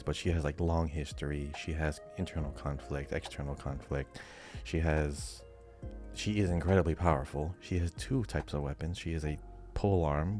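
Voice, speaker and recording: A man's voice: rumbling low, a little mellow. A man talking casually as co-host of a podcast. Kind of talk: casual chat.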